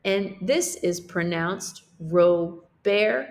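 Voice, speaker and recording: A woman teacher reading a storybook aloud to pupils, pausing to explain things simply for children. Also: on the low side. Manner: explains simply, checking in with listeners.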